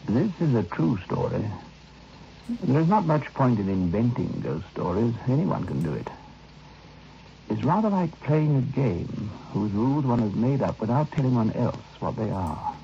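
An actor playing a reflective man recalling a youthful summer, in measured, literary radio drama narration. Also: mid-range voice, very animated, vocal fry, warm tone, a little hiss or hum. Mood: solemn, nostalgic. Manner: measured, literary, wistful storytelling. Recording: dull vintage radio recording with music behind.

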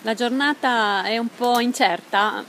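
A woman reporter asking an organizer brisk questions at an outdoor event. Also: fairly high pitch, a bit bright, slight background noise. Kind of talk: field interview.

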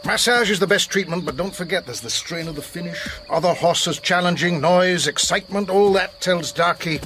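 An actor playing a shrewd, sceptical horse expert in a radio drama, dry and authoritative in manner. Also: male voice, high voice, normal speed, sharp tone, a little hiss or hum. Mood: matter-of-fact.

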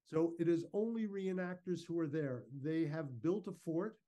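A man giving an informal, measured, explanatory slide talk over a video call. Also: a little high, animated, dark tone. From Canada. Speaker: guest speaker, historical reenactor. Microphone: video call microphone.

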